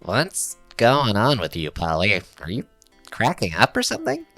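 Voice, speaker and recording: A man's voice: on the low side, wildly swooping pitch, moderate pace, sharp tone, no room sound, faint music behind, exaggerated voice. A man playing a laid-back, slangy alien mechanic in an audio drama, casual and irreverent. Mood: casual, teasing. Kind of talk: scripted audio drama dialogue.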